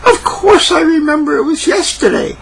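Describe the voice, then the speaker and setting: A man voicing a young woman on a phone call, in a high squeaky falsetto with a hesitant manner. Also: normal speed, vocal fry, a bit bright, slight background noise, full character voice. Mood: eager. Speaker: lady being asked out.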